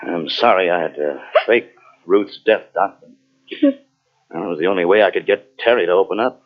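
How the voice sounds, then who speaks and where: An actor playing a firm, steady police lieutenant in an old-style radio mystery, with a plain, authoritative delivery. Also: male voice, low voice, normal speed, close to an everyday voice. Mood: calm, firm. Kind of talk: 1940s radio mystery acting. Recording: dull lo-fi old radio tape.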